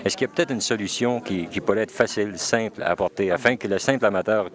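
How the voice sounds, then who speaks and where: A man's voice: on the low side, fast talker. A man with a Canadian French accent interviewing a guest on the radio, asking questions with frequent hesitations. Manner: hesitant, many fillers. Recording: 1979 radio archive.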